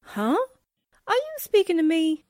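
An actress playing a breezy, playful young gal with slangy talk in 1940s-style radio drama. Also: female voice, very high-pitched, huge pitch swings, unhurried, a little mellow, no echo, studio quality, full character voice. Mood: curious, wary. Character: breezy, man-hungry young gal, reporter's chummy sidekick.

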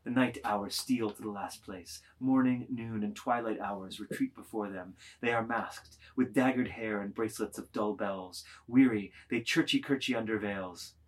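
A man's voice: on the low side, normal speed, hardly any pauses, low-quality recording. A man reading scripted literary narration expressively, with rhythmic, emphatic delivery of lists of words.

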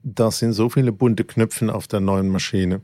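A man's voice: lowish voice, steady tone, moderate pace, dark tone, dry recording. A man co-hosting a podcast in casual chat, speaking in a laconic, playful way. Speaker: podcast co-host.

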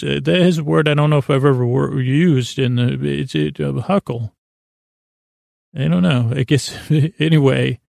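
Male sleep-podcast host, heavy vocal fry, meandering and soft-spoken, dry close mic. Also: mid-range voice, no echo. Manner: meandering, halting, many fillers and restarts; soft and gentle. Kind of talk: rambling bedtime podcast intro.

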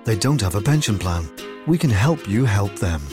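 Brief: male voice-over, measured ad read, music bed underneath. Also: lowish voice, expressive. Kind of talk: scripted ad read.

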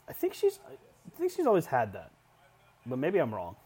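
A man's voice: mid-range voice, wildly swooping pitch, normal speed, warm tone, husky. A man giving jokey, casual live commentary as he watches a wrestling match. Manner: jokey, frequently chuckling.

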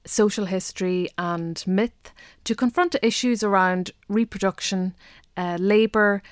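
A woman from Donegal with a Donegal Irish accent, a native Irish speaker and poet, speaking thoughtfully with soft hesitations. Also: mid-range voice, a little slow, clean tone, no echo, clean studio sound. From Donegal, Ireland. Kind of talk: interview monologue.

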